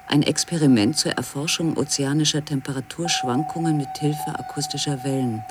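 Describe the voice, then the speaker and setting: A man reading scripted documentary narration for a radio feature in a measured, factual way. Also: a little high, background noise.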